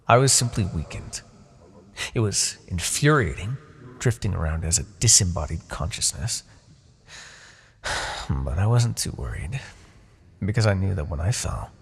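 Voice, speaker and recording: A man playing a smug, seductive, possessive Demon King in a fantasy ASMR roleplay, with a gravelly, breathy voice and theatrical, taunting delivery. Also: deep voice, heavily acted. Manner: theatrical, taunting, drawn-out phrasing with soft asides.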